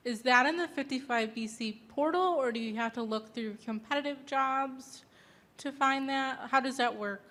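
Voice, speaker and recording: Woman in the audience asking stuff, kinda high and bright voice. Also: normal speed. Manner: inquisitive, asks follow-ups. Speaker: audience member asking questions.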